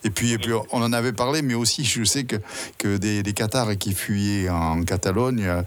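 A man's voice: fairly low pitch, normal speed. A man hosting a radio conversation, asking questions and interjecting with short affirmations. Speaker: radio host.

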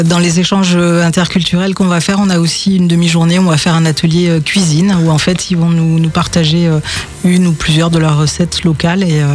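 A woman's voice: on the low side, a little mellow. A woman speaking as a radio interview guest in casual, unscripted talk, chatty and a little hesitant.

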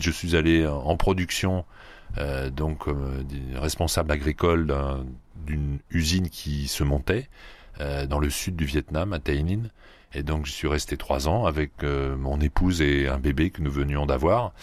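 A man in his fifties from the Paris region, an agronomist answering interview questions in a measured way, with frequent hesitations and restarts. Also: very deep voice, no room sound. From Paris region, France. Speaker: agronomist researcher, guest.

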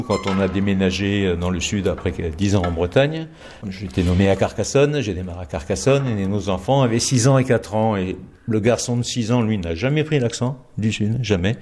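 A man's voice: lowish voice, darkish tone. An older man telling a family anecdote in an interview, amused and chuckling as he goes. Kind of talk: relaxed anecdote.

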